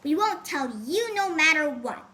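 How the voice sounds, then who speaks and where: A woman voicing a feisty, stubborn twelve-year-old girl in a storybook, excitable and snappy. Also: sits high, measured pace, heavily acted.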